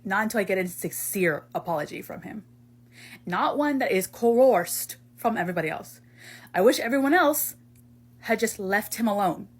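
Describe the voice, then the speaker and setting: A woman playing a proud, wounded young man in an amateur audio drama, blunt, emphatic and sweary, with a husky voice. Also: medium pitch, expressive, normal speed. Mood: grudging, exasperated.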